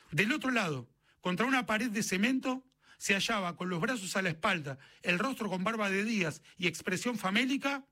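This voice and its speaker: An Argentine man narrates a literary short story. His voice sits high for a man and has a bright, forward edge. The delivery is scripted storytelling, read as prose.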